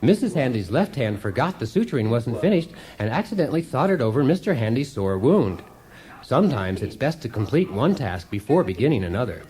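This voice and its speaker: An actor playing a relentlessly cheerful, unflappable DIY show host in a radio comedy, with chirpy instructor patter. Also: male voice, medium pitch, big pitch swings, a little fast, darkish tone, lightly acted. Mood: chipper, unflappable.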